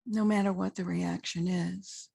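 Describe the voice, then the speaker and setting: A woman leading a guided meditation as a spiritual teacher, speaking in short, measured phrases with long pauses and a husky, gravelly voice. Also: on the low side, little pitch movement, mellow, dry recording, clean studio sound.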